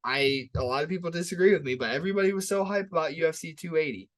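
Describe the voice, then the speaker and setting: A man's voice: a little high, racing, fairly bright tone. A man co-hosting a podcast, chatting casually about upcoming fights in a hyped, emphatic way that leans on repetition. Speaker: podcast co-host. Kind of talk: casual sports podcast chat, fight preview.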